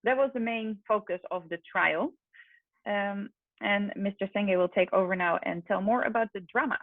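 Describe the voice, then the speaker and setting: A woman's voice: medium pitch, normal speed, dry recording. A woman presenting research in an informal, explanatory lecture style. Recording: muffled, band-limited online call audio.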